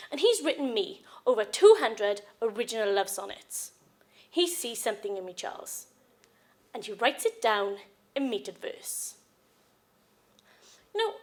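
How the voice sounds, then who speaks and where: A woman performing a stage monologue as a jilted, clingy wife, sarcastic and desperately in love. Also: high-pitched.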